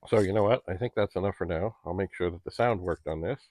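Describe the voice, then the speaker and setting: A man's voice: low-pitched, quick pace, a little mellow, no room sound. A middle-aged man talking casually to camera in a rambling, chatty, politely old-fashioned way, as a home vlogger. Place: basement at home.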